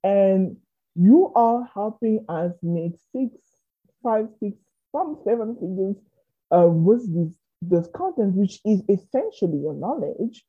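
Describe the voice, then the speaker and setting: A woman's voice: mid-range voice, animated, slow pace, breathy edge. A woman from South Africa, a business coach, answering interview questions in an earnest and open way. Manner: earnest, openly sharing. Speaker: business and marketing coach, guest. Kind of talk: conversational interview. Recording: tinny phone-like line.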